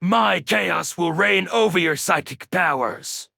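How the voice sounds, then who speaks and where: A man voicing a scornful, hulking chaos villain with a harsh, very gravelly voice for a fighting game. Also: high-pitched, slow pace, pushed and bright, no room sound, clean studio sound, exaggerated voice. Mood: scornful menace.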